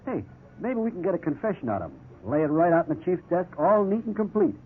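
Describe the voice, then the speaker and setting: An actor playing a younger police officer, a casual, cautious sidekick, in 1950s radio drama style. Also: male voice, high voice, very animated, fast, narrow, tinny audio. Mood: cautious, conspiring. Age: young adult. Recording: thin band-limited 1950s radio recording, hiss.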